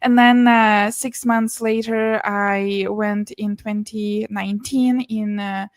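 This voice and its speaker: A woman's voice: medium pitch, steady tone, slow, dry recording, studio quality. A young adult woman from Russia who speaks English as a second language, talking earnestly as a podcast guest with frequent restarts and small laughs. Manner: earnest, many restarts and laughs between words. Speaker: podcast guest, business and mindset mentor. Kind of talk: casual interview conversation.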